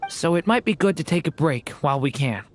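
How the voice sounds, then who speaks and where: An actor voicing a gentle, polite young boy in a video game, speaking in a measured way. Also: medium pitch, animated, over music, natural voice. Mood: calm.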